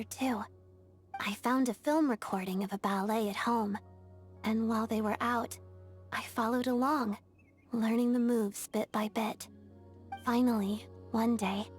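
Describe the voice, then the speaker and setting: A woman voicing an earnest, disciplined young ballet dancer in a video game, speaking in a composed, formal and measured way. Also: on the high side, lightly acted. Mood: fond, reminiscing. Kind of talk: video game character voice acting.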